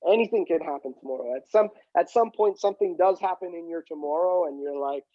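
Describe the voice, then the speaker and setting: A man's voice: on the high side, quick pace, mellow, no room sound, dull audio. A man whose first language is Punjabi, a guest on a podcast who talks in a wry, joking way and swears casually. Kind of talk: casual chat.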